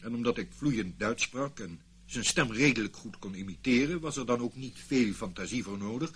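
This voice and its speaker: An actor playing a guarded, indignant middle-aged former army officer under questioning in a radio play, curt and evasive. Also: male voice, medium pitch, expressive, moderate pace, fairly bright tone, breathy edge. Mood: reluctant recounting.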